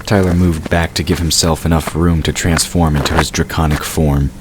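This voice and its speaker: A man's voice: a bass voice, level tone, moderate pace, noisy background. A man reading scripted fantasy narration as the narrator of an audio drama.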